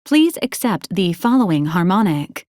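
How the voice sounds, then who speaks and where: Deadpan, dutiful robot assistant, very dry sound. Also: female voice, lowish voice, normal speed, no room sound. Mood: deadpan, formal. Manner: formal, clipped, deadpan announcements. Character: polite, deadpan female robot assistant unit, dutiful and literal. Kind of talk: scripted video game character lines.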